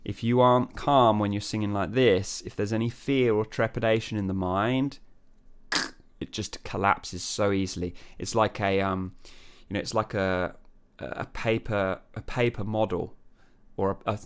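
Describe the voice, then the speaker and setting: Guy voice coach rambling thru a singing lesson, low voice, smooth and clear. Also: moderate pace. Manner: relaxed, thinking aloud with many fillers and restarts. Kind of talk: singing lesson with sung falsetto demonstrations.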